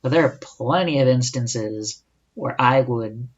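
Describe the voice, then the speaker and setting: A man's voice: medium pitch, a little slow. A young man talks through a solo podcast in an easy, chatty way. He often tosses in rhetorical asides and corrects himself mid-sentence. The recording sounds a bit dull and lo-fi. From United States. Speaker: podcast host.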